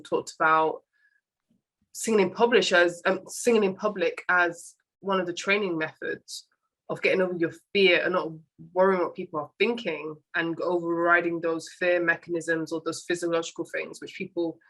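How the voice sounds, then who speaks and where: A woman's voice: on the low side, a bit bright, poor audio. A woman with a British English accent hosting a podcast interview, talking in a chatty, rambling way and often restarting her words. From England. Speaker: podcast host. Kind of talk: casual interview chat.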